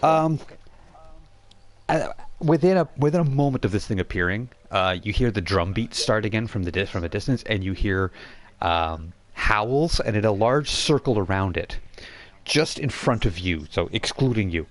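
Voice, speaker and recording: A man's voice: fairly low pitch, lively intonation, moderate pace. A man narrating a tabletop role-playing game as game master, often repeating and restarting his phrases.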